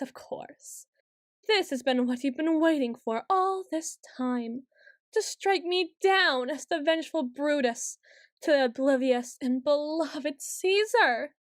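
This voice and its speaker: A woman's voice: high voice, lively intonation, slow, no echo, studio quality. A woman playing a haughty, petulant ancient female vampire in a scripted audio roleplay, speaking in a theatrical, imperious and archly formal way. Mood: bitter, dramatic. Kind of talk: scripted ASMR roleplay monologue.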